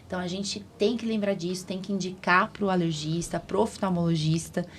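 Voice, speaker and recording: A woman's voice: fairly low pitch, steady tone, fairly quick, slight background noise. A Brazilian woman doctor hosting a podcast, explaining things in a colloquial, didactic way and often checking for agreement. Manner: colloquial, didactic, seeks agreement with tag questions. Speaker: podcast host, allergist doctor. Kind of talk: conversational medical explanation on a podcast.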